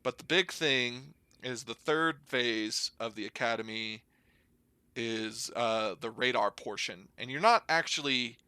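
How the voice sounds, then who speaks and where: A man's voice: medium pitch, a little slow, sharp tone, smooth, dry recording. A young American man chatting casually, telling stories and explaining things in an informal, rambling way. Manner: informal, rambling, hedging with slang. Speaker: podcast guest, air traffic controller. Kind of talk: casual conversational storytelling and explanation.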